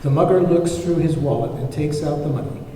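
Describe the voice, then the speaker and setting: Guy reading script directions, soft dark tone, huge echo, far from the mic. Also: on the high side, a little fast. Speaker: table read narrator. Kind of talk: scripted narration of screenplay. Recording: very echoey hall, distant mic, faint noise.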